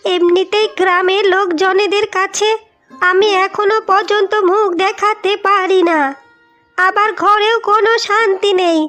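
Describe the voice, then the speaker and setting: A woman's voice: extremely high pitch, little pitch movement, unhurried, fairly bright tone. A woman voicing an older, bitter village mother-in-law in a cartoon story, nagging and complaining. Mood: angry scolding. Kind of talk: Bengali cartoon moral story acting. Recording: music behind the voice.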